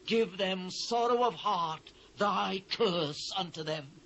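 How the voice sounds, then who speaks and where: A man's voice: high voice, slow, sharp tone, full character voice. A British man playing an anguished biblical prophet in a dramatised scripture reading, solemn, declamatory and impassioned. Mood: crying out, bitter. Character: anguished male biblical prophet lamenting his ruined people. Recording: faint noise, old cassette transfer.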